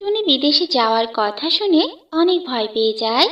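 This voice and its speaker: High-pitched woman narrating a cartoon. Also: big pitch swings, a little fast, fairly bright tone. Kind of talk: scripted children's cartoon story narration. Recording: distant, dull lo-fi with music bed.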